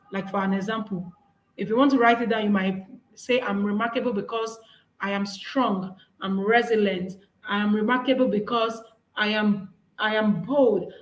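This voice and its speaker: A woman's voice: mid-range voice, reverberant, poor audio. Earnest Nigerian woman giving a workshop talk. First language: Yoruba. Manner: earnest, encouraging, repeats and restarts phrases. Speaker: speaker. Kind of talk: presenting a workshop talk with slides.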